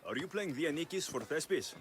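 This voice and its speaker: An actor playing a confident, dry-witted ancient Greek mercenary in a video game, direct and wry. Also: male voice, on the high side, expressive, quick pace, a little hiss or hum, lightly acted. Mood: assured. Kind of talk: video game dialogue acting.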